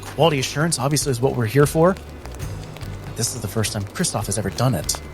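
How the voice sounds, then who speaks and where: A man's voice: mid-range voice, lively intonation, fast talker, music in the background. A man playing a tabletop game, improvising third-person narration of his character in a thoughtful, searching way.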